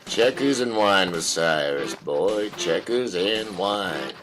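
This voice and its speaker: An actor playing a weary, stoic rustic father, with a deadpan folksy drawl, in a dark comic audio drama. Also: low-pitched, slow pace. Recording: music under the voice.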